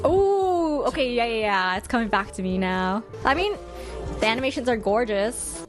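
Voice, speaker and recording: A woman doing casual, expressive live stream commentary as she reacts to game trailers, with a giggly, exclaiming manner. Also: fairly high pitch, moderate pace. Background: music from game trailers.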